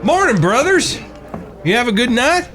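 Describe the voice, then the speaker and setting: An actor playing a proper, easily affronted cowboy brother in a Western audio drama, earnest and slightly formal. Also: male voice, very high-pitched, sing-song, normal speed, bright, forceful tone, faint music behind, exaggerated voice.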